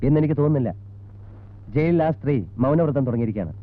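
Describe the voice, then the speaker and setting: An actor playing a persistent, businesslike police investigator in a film drama, putting clipped, probing questions in a Malayali accent. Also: male voice, on the high side, fast talker, lightly acted. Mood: stern, insistent. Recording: tinny band-limited old film soundtrack.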